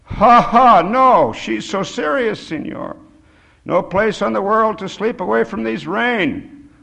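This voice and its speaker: A man's voice: high voice, expressive, slow, vocal fry, pushed and bright, pitched above the performer's own voice, full character voice, poor audio. A man voicing a tearful old drifter in a story reading, crying out with pleading religious fervor. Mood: tipsy, tearful. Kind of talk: dramatic short story reading.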